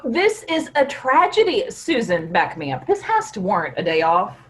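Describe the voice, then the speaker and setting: A woman's voice: mid-range voice, huge pitch swings, moderate pace. A woman playing an exasperated, demanding production floor supervisor in a staged play reading. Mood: alarmed, pleading. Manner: blunt, demanding.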